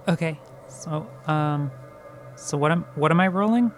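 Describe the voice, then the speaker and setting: A man's voice: a little high, a little slow, soft background music. A man chatting casually as a player in a tabletop roleplaying game. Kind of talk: casual chat during a game.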